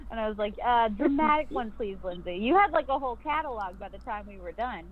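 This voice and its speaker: Brief: woman, somewhat high voice, giggly animated talker, dull lo-fi line. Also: a little fast. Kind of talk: casual chat. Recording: muffled lo-fi audio.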